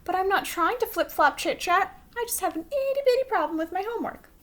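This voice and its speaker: Little schoolgirl, played by a woman narrator: high, earnest, childlike, lively sing-song storybook delivery. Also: a little fast. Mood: pleading. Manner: childlike, sing-song rhyming baby words.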